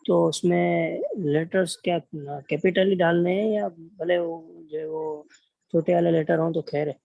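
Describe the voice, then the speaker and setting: A man's voice: a little high, steady tone, a little slow, vocal fry, dry recording, highs cut off. A man with a Pakistani accent, a student asking his teacher hesitant questions over a video call.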